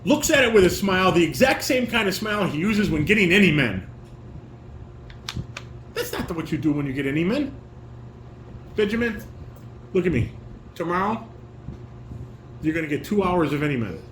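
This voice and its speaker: A man with a high, swooping voice directs a home table read, switching between instructions and read-aloud stage directions. The room echoes, with a light hum of fan and air conditioner. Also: very animated, moderate pace, long pauses, fairly bright tone. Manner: instructing, repeats and corrects lines. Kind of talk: casual coaching mixed with reading stage directions aloud. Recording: roomy echo, fan and air conditioner noise.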